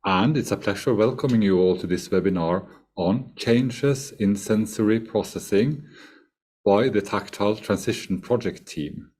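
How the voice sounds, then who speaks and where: A man opening a webinar as project manager, speaking in a formal, measured way. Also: lowish voice, a little mellow. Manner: formal, measured, ceremonious greeting.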